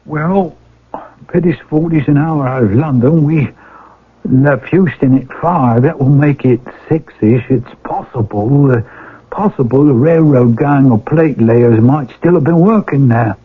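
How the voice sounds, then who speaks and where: An actor playing a respectful, helpful railwayman in a radio mystery drama, hesitant and folksy, with a husky voice. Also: medium pitch, unhurried. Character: deferential, helpful working railwayman, eager to oblige. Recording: lo-fi old radio broadcast recording.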